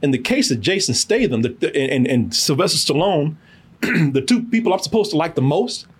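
A man's voice: fairly high pitch, sing-song, normal speed, slightly rough. A man hosting a casual, profane film review podcast, telling it emphatically with lots of repetition and free cursing.

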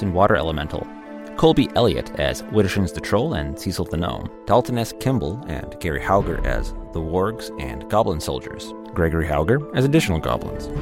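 Male credits announcer, deep voice, scripted delivery over a clear music bed. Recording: music behind the voice.

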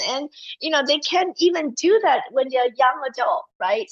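A woman's voice: fairly high pitch, husky, dull audio. A woman from Malaysia, a guest in a casual interview, talking animatedly with many fillers and restarts.

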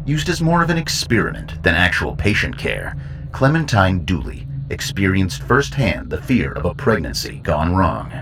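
A man narrating a scripted horror report in a formal, measured manner. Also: low-pitched, big pitch swings, background noise. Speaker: narrator and host.

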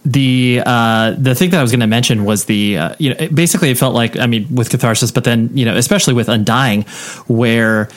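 A man with an average-pitched voice interviews a guest on a podcast. He circles around his questions with restarts and fillers before landing on them. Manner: halting, searching for words. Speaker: podcast host.

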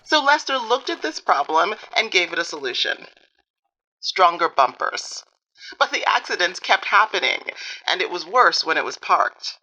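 Bright, low-voiced wry woman author. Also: big pitch swings, normal speed, exaggerated voice, rough recording. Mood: wry, explanatory. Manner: dry, matter-of-fact storytelling. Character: wry female author interviewed on public radio. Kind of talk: documentary interview in audio fiction.

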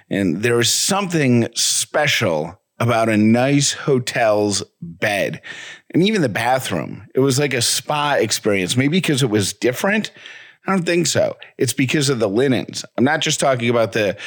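Man reading a conversational podcast ad. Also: medium pitch. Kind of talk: conversational ad read.

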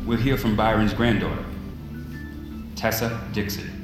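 A man playing a funeral officiant in an audio drama, announcing in a formal, measured way. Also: fairly low pitch, lightly acted. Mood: solemn. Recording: very echoey hall, music.

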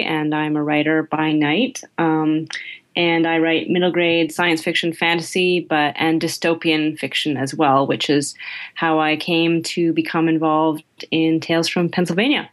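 A woman's voice: sits low, little pitch movement, normal speed. A woman from Canada speaking casually as a writer guest in an interview.

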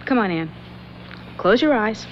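An actress playing an earnest, spooked young woman in a 1970s radio drama, often speaking in a hushed whisper. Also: medium pitch, lively intonation, a little slow, natural voice. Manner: hushed, whispering over the board. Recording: muffled old radio broadcast, faint hiss.

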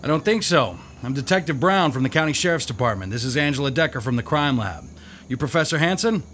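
An actor playing a level-headed county detective, dry and matter-of-fact. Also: male voice, mid-range voice, expressive, fast talker, fairly bright tone, a little hiss or hum, lightly acted.

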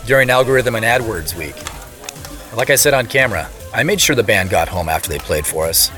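A man's voice: on the low side, animated, fairly quick, sharp tone, faint music behind. A man acting as a wry, guarded younger brother and CEO in an audio drama, narrating in a conversational way. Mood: playful, defensive. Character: adult brother, a celebrity CEO, playful and guarded. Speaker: actor and narrator. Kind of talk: first-person audio drama narration and dialogue.

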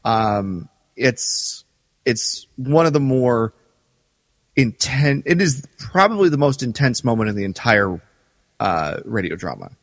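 A male podcast co-host with a medium-pitched voice, recorded dry. He talks casually and haltingly, stressing his points by repeating them. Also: sing-song, no room sound, big-room sound. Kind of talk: casual discussion and commentary.

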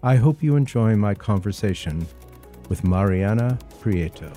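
Low, dark-voiced man hosting a podcast. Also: expressive, soft background music. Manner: measured, scripted introduction then thoughtful questions. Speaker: podcast host. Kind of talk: interview podcast.

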